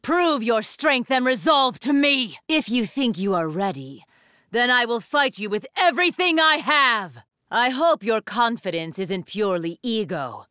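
An anime game's commanding young warrior woman. Her voice sits on the high side, forceful and sharp. She speaks formally and with disdain, declaiming with iron will in a very dry recording. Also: sing-song, unhurried, clear, resonant tone, no echo, exaggerated voice. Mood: cool, measured challenge. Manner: haughty, formal, declamatory delivery. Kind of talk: English anime game voice acting.

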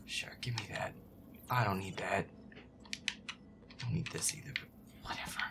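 Man playing a grumbling, whispery, gravelly gamer boyfriend. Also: lowish voice, fairly even intonation, unhurried. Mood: irritated, grumbling. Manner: foul-mouthed, irritable muttering with nervous outbursts. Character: feisty, grumpy young male werewolf boyfriend playing games. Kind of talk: roleplay gameplay commentary, muttering to himself.

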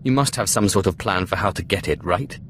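An actor playing a confident, dry Sith apprentice in a video game, with a cool, sardonic, measured delivery. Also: male voice, low-pitched, fairly bright tone, a little hiss or hum. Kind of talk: video game character dialogue.

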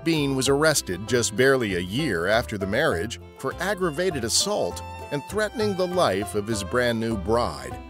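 A man narrates scripted history for a podcast. His medium-pitched voice moves with lively intonation, telling the tale over faint background music. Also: moderate pace, few pauses.

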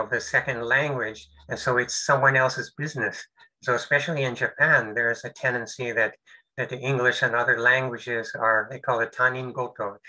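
An older man presents over a video call. His voice sounds very bright and forward, and he talks in halting phrases full of fillers and restarts. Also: medium pitch, low-quality recording. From United States. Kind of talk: webinar presentation.

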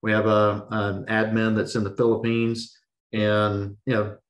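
A middle-aged man hosting a podcast, hoarse and losing his voice, talking in a relaxed, rambling way over a video call. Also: on the low side, unhurried. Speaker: podcast host. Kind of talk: casual podcast interview. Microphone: video call webcam.

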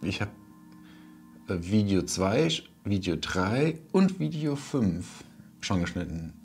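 A man in his forties casually talking through a video diary, unhurried and thinking aloud with pauses, on a clip-on mic. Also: fairly low pitch, expressive, a little mellow, soft background music. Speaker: vlogger, audio drama maker. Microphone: wireless clip-on lavalier.

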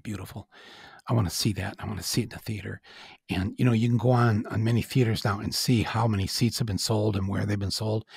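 A man's voice: fairly low pitch, creaky, breathy edge, no echo, studio quality. A man in his sixties hosting a podcast, talking in a rambling, self-deprecating way with chuckles and lots of "uh". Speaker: podcast host. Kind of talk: casual monologue.

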